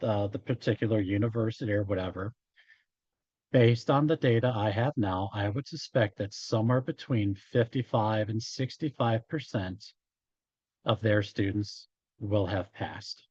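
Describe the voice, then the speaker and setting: A man giving an informal statistics lecture as a college instructor, his voice a bit hoarse from talking, explaining in a halting way. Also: fairly low pitch, darkish tone, no echo, highs cut off. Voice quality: slightly hoarse. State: hoarse after hours of talking. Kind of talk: informal statistics lecture, working through examples.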